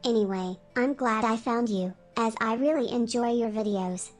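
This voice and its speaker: A text-to-speech woman's voice reading a viewer's message in a flat, even way. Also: fairly high pitch, moderate pace, soft background music. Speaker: donation message reader.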